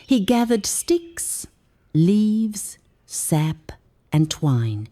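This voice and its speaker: Slow, mellow woman storyteller with swooping intonation. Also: mid-range voice, sing-song. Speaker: storyteller for children. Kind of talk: scripted read-aloud children's story narration.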